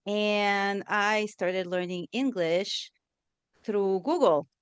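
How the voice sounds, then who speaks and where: A woman in her thirties, from Israel and born in Russia, telling her life story as a podcast guest, animated and full of fillers, acting out her past self in little quotes. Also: medium pitch, extremely slow, clean tone, dry recording. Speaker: podcast guest, forest therapy guide. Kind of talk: personal storytelling interview.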